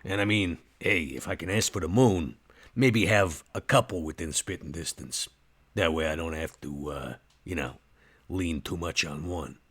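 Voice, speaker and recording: Casting: streetwise, easygoing vampire played by a man, low raspy voice with a forceful edge, casual slangy delivery for tabletop game. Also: moderate pace. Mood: wry, casual. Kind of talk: tabletop roleplay in character.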